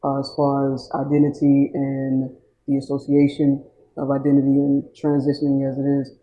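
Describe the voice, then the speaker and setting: A man from Florida, a panel guest, talking in a halting way and searching for words. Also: mid-range voice, monotone, highs cut off. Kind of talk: casual discussion.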